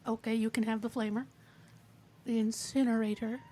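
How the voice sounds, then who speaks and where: A woman's voice: fairly high pitch, level tone, moderate pace, dark tone, slight background noise. A woman player in a tabletop roleplaying game, talking casually and in character with a sweary, theatrical way of putting things. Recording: background music.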